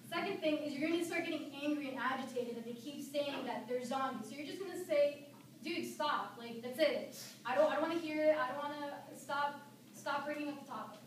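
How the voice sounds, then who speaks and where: High-pitched young woman in a very echoey room. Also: brisk. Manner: conversational, colloquial, casually addressing listeners. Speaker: student speaker giving a speech. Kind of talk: informal humorous public speech. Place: echoey room, recorded from a distance. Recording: echoey room, distant mic, noisy background.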